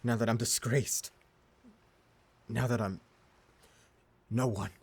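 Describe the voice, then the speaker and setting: Broken pirate overlord, gravelly and anguished. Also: male voice, mid-range voice, fairly even intonation, slow pace, full character voice. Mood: grieving, tender. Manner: stammering, anguished pleading. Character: defeated blind pirate overlord, desperate, broken, grieving. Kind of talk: dramatic audio roleplay acting.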